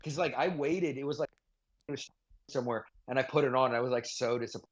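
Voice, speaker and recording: A man's voice: mid-range voice, fast. A man chatting casually as a podcast co-host, giving opinions in a blunt, sweary, slangy way. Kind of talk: casual chat, opinionated commentary. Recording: dull, lo-fi voice audio.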